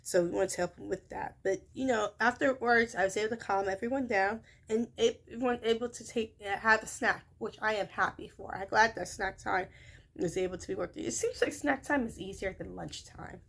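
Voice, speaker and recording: A young woman with a high, shrill voice chats casually about her workday. She rambles, leans on fillers like "you know" and "uh", and keeps correcting herself mid-sentence. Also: normal speed, gravelly, fairly bright tone. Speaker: childcare worker. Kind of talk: casual vlog recap of a workday.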